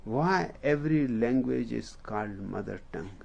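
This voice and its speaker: A man with an Indian accent, a native Hindi speaker, telling a story as a spiritual teacher in a deliberate, unhurried way with long pauses. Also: medium pitch, lively intonation, vocal fry, darkish tone, slightly rough, muffled recording. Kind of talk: slow storytelling discourse.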